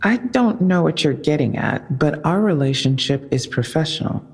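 A wary female police officer, with a husky voice full of fry. She is terse and defensive, pushing back on questions in an audio drama. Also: low voice, sing-song, moderate pace, long reverb tail, full character voice. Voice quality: husky, creaky.

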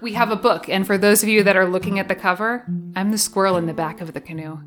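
A woman's voice: medium pitch, fairly even intonation, fast, a bit bright. A woman chatting casually and promotionally on a podcast, with a playful, wry manner and guitar music behind her. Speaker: podcast co-presenter, live show MC and author. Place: home basement.

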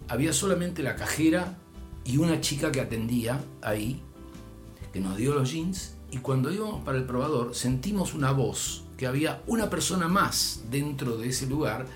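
A man's voice: mid-range voice, normal speed. Older guy w argentine accent spinning a story, voice goes up n down, faint music behind. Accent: Argentine Spanish. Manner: animated, voice rising and dropping with the story. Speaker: storyteller guest. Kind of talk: casual anecdote.

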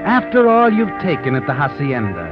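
An actor playing a needling, sardonic crime-tale narrator in dramatic 1940s radio suspense style. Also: male voice, a little high, wildly swooping pitch, moderate pace. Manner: dramatic second-person taunting. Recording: muffled old radio recording over music.

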